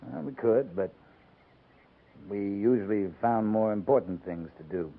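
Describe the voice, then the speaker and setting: An actor playing a wry, laconic frontier cavalry captain in a 1950s radio drama. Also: male voice, lowish voice, gravelly, phone-quality sound. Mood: dry, persuasive. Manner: laconic, dry, unhurried. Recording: thin band-limited 1950s radio recording.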